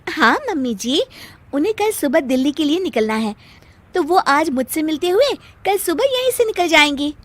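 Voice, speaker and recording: A woman's voice: high voice, animated, normal speed, dry recording, pitched above the performer's own voice. A woman voicing a polite, eager young newlywed daughter-in-law in a story, sweet and deferential.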